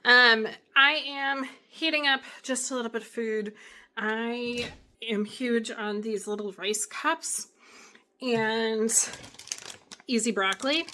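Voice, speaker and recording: A woman in her thirties chatting casually in a home vlog, her voice hoarse from cheering, rambling easily with fillers. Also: fairly high pitch, slow pace, bright, forceful tone, a little hiss or hum. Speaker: vlogger, homeschooling mom. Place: at home, kitchen. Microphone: handheld camera.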